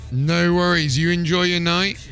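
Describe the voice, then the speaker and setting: A man's voice: sits high, fairly even intonation, measured pace, a bit bright, soft background music, low-quality recording. A man hosting a live gaming stream, talking casually as he plays and troubleshoots.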